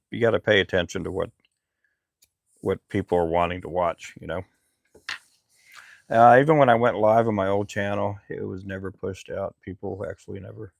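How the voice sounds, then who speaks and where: Middle-aged man, low and gravelly, easygoing rambler, dry close recording. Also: normal speed, long pauses, no room sound. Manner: relaxed, rambling, frequent fillers. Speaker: lure maker live streamer. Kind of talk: casual live stream chat.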